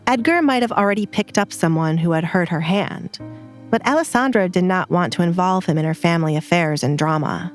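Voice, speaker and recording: A woman's voice: fairly low pitch, a little fast. Woman narrating an audio drama over music.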